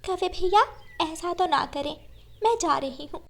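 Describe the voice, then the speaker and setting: A woman voicing a gentle, high-voiced mother bird. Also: measured pace, creaky, full character voice. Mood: pleading.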